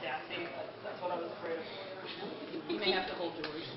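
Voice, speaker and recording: A woman's voice: lowish voice, fairly even intonation, a little fast. Woman chatting in an echoey, busy room. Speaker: fan convention panel co-presenter. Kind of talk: casual chat and card game play. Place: convention panel room, indoors. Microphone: camera at a distance. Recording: echoey, muffled distant camera mic, crowd chatter.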